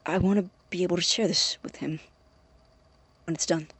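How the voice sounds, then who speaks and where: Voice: mid-range voice, steady tone, a little fast, fairly bright tone, breathy edge. Formal, anxious young male researcher, voiced by an actor. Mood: wistful, unsure. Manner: formal, precise, hesitant, self-reassuring. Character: obsessive, anxious young male medical researcher recording experiment notes. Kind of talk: audio drama, spoken tape journal.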